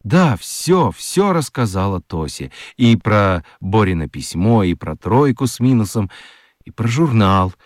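Lively, low-voiced male storyteller. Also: measured pace, no echo, clean studio sound. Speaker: narrator. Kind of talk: expressive scripted storytelling for children's radio.

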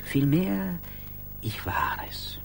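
An actor playing a smug, condescending office colleague in a German radio drama, reasoning and lecturing in a declamatory way. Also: male voice, fairly high pitch, very animated, drawn-out words, gravelly, slight background noise, full character voice. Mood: placating, calm.

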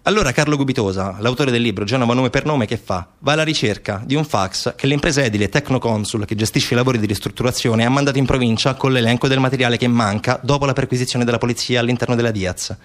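Male stage narrator for civic-theatre monologue: mid-pitched, conversational, dramatic, uses rhetorical questions. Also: quick pace, few pauses. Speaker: stage actor and storyteller.

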